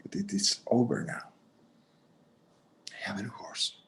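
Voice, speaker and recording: Casting: male actor as elderly Mexican-American rancher dad, stubborn yet tender, widely swinging intonation, halting, bits of Spanish, screen drama. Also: medium pitch, very animated, vocal fry, a bit bright, in a whisper, exaggerated voice. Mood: sheepish, regretful. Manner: halting, repeating words, dropping in Spanish. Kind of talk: screenplay table read acting.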